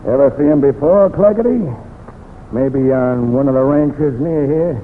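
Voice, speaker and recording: Male actor as bossy wary outlaw leader, tough folksy delivery, middle pitch, radio western, tinny reverberant recording. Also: measured pace, slight background noise, close to an everyday voice. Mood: commanding. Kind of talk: old-time radio western acting.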